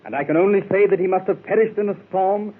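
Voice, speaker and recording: An actor playing a seasoned male explorer, gravely recounting his polar expedition in 1930s radio drama. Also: high-pitched. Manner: grave, measured storytelling. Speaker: club member telling his tale. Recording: tinny 1930s radio recording.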